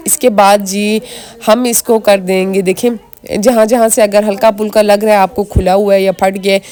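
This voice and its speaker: Hoarse, chatty woman vlogger. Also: medium pitch, little pitch movement, normal speed, darkish tone, slight background noise. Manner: chatty, talks straight to viewers. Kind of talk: casual vlog narration.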